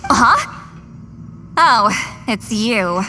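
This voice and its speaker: A woman's voice: on the high side, big pitch swings, drawn-out words, sharp tone, slight background noise, exaggerated voice. A woman voicing a grumpy, haughty shaman granny, centuries old yet youthful-sounding, brusque and impatient, in an anime game.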